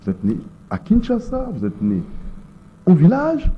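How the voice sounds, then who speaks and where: A man, hosting an interview and prompting his guest with quick follow-up questions. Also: sits high, huge pitch swings, a little hiss or hum. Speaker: interviewer, host. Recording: muffled lo-fi sound.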